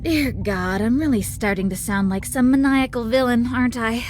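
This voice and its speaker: A woman's voice: fairly high pitch, normal speed, darkish tone, faint music behind, close to an everyday voice. A woman playing a sardonic, theatrical and exasperated villain rival in a visual novel game. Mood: exasperated, menacing. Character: Kiki, sardonic, dramatic, gun-toting female villain rival.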